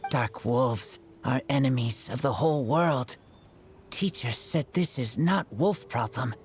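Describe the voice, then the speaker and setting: A man's voice: medium pitch, a little hiss or hum, lightly acted. An actor voicing a wild young man raised by wolves, speaking in clipped, broken sentences. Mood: resolute. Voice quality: clipped broken speech, slow and gruff. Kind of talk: game character dialogue.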